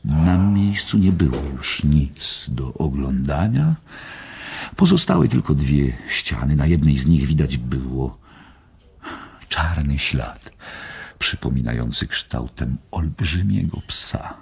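An actor playing a worldly journalist in a radio drama, chattily telling a friend an eerie tale in a husky, gravelly voice. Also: male voice, very deep voice, big pitch swings, takes it slowly, darkish tone, full character voice. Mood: storytelling, intrigued.

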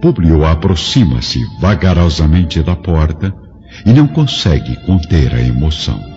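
A man narrating an audiobook drama in a measured, dramatic way. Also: rumbling low, very animated. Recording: dull lo-fi, clear music behind.

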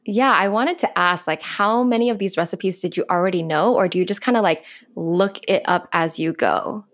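A woman's voice: lowish voice, normal speed. Curious woman hosting a podcast. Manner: friendly, curious, many "like" and "um". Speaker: podcast host. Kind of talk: casual interview. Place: small room at home, indoors. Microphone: close podcast mic on boom arm.

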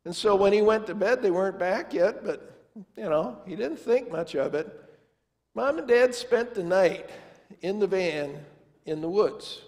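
A man's voice: sits high, animated, a little slow, darkish tone. An older man preaching as pastor, telling a family story in a folksy, conversational way and acting out the dialogue. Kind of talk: storytelling sermon. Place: large church sanctuary, standing before the altar. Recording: long church reverb.

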